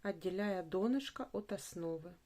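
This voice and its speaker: A woman narrating a craft tutorial step by step, in an unhurried, instructive way, repeating phrases as she goes. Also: lowish voice, mellow.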